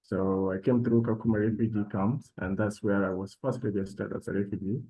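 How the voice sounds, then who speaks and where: A man from South Sudan speaking as a panelist in an online session, with measured, formal phrasing. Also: fairly low pitch, fairly even intonation, warm tone, poor audio. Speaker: panelist and program alumnus. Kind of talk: panel talk, self-introduction.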